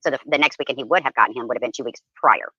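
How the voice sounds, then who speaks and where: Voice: medium pitch, rapid-fire, bright, forceful tone, slightly rough, dry recording. A panel guest talking casually in a discussion, on slightly dull streamed audio.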